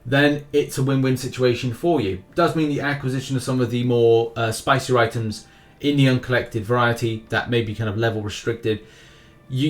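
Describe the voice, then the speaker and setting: A man hosting a gaming news video with casual commentary, rambling a little and repeating phrases for emphasis. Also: mid-range voice. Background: background music.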